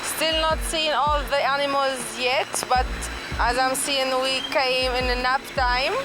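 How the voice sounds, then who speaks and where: High-voiced Tunisian woman, loud music behind. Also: level tone, measured pace, low-quality recording. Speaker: zoo visitor. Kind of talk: informal on-site interview.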